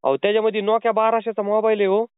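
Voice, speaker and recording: A man, a fairly high and clear voice, talks quickly. He is posing as a delivery man on a prank call, pleading and insisting, and the line sounds thin and tinny, like a phone call. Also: little pitch movement, no room sound.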